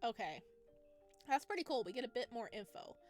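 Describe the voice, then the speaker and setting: A woman's voice: on the high side, big pitch swings, fairly quick, soft background music. A woman giving casual, chatty let's play commentary as she plays a story game. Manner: chatty, informal. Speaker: gamer playing and reacting to a visual novel.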